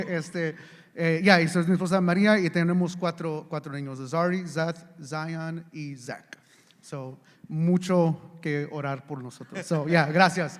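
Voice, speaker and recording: A man from the United States, speaking haltingly to an audience as a church elder. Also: on the high side. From Chicago, United States. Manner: halting, searching for words. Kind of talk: casual self-introduction to an audience. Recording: reverberant hall sound.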